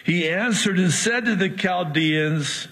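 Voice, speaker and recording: A man whose first language is Arabic, teaching a verse-by-verse sermon in an animated way with quips between verses. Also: high-pitched, slow pace, breathy edge, in a large hall. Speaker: Bible teacher.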